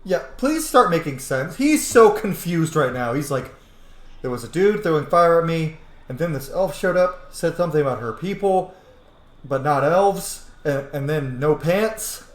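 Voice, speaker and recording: A man's voice: fairly high pitch, lively intonation, measured pace. A man giving casual, amused and sweary commentary as he plays a roleplaying game, reacting aloud. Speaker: let's player, game roleplayer. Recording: roomy sound, faint background noise.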